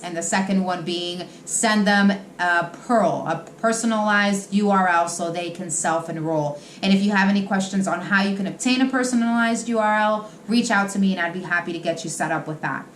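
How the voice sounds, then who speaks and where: A woman hosting an explainer, answering common questions in an instructive, semi-scripted way straight to the viewer. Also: medium pitch. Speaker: host, insurance industry advisor answering agent questions. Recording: roomy echo, faint background noise.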